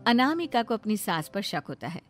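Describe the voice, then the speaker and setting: A woman's voice: mid-range voice, very animated, normal speed, faint music behind. A woman narrating a scripted moral family story for a cartoon.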